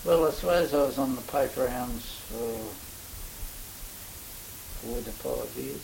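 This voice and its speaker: Slow, creaky-voiced older Australian man. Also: mid-range voice, a little mellow, poor audio. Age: older, 70s or above. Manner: halting, rambling recollection. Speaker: interviewee recalling local history. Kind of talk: oral history reminiscence. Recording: faint hiss, amateur interview recording.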